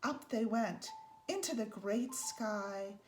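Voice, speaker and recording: A woman reading a children's picture book aloud as a storyteller, in a measured, deliberate way with emphasized phrases. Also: mid-range voice. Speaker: storybook reader. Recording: faint background noise.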